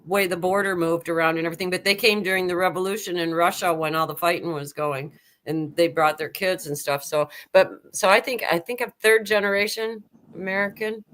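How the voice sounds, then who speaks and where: A woman's voice: on the low side, steady tone, fairly quick, a bit bright. Older lady chatting on a call-in panel, jumps in a lot. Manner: chatty, talks over others. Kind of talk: casual panel chat.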